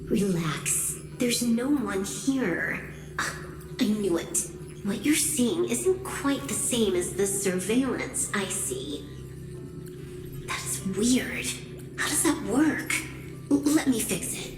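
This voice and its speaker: Cool cautious hacker girl in an anime game, slow dry delivery, echoey, music behind. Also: mid-range voice, expressive. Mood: calm, dry.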